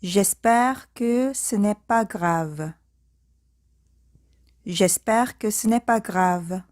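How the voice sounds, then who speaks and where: A woman teaching a language, saying short sentences slowly and carefully, phrase by phrase, then repeating them whole. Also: medium pitch, darkish tone, no echo, clean studio sound. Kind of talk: slow speaking-practice drill.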